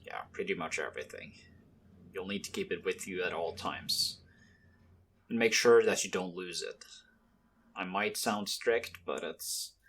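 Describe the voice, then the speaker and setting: Man playing a slow, low-voiced, gentle mafia heir. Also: slight background noise. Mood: softly concerned. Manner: hesitant, gentle, measured, with pauses. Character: mafia boss's son, soft-spoken, hesitant, protective young man. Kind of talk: ASMR roleplay.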